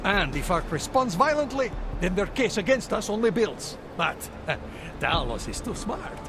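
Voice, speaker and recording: A man's voice: sits high, sing-song, moderate pace, music in the background. An actor playing an earnest, loyal augmented man who guards a rebel group's door in a video game cutscene. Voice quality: earnest, admiring, reflective.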